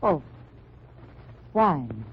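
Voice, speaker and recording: An actress playing a suspicious young German housewife who answers in a guarded way, in a 1940s radio drama. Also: female voice, low voice, very animated, extremely slow, slightly rough, full character voice. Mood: wary. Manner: wary, guarded. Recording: muffled old radio recording.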